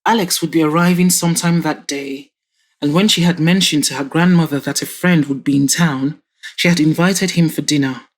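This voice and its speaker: A woman narrating an audio drama in a measured, even storytelling manner. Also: low-pitched.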